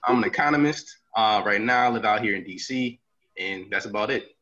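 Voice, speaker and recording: A man in his late twenties introduces himself plainly and without fuss. His tone is bright and forward. The podcast audio sounds muffled. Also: fairly low pitch, a little fast. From Charlotte, North Carolina, USA. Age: 20s. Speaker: podcast co-host. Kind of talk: casual chat.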